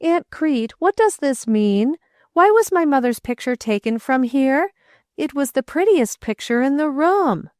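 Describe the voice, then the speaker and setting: A woman's voice: high-pitched, lively intonation, measured pace, a little mellow, dry recording, clean studio sound, voice pushed up. Woman reading as an earnest, grief-struck boy. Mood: puzzled. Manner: eager, pleading, rising to outbursts. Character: earnest boy, grieving and indignant.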